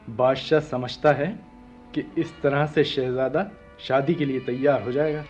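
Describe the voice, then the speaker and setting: A man's voice: mid-range voice, steady tone, takes it slowly, a little mellow, natural voice. A man playing an easygoing, cautious palace watchman in a radio play, chatting colloquially. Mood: casual griping. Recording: dull lo-fi sound, faint music.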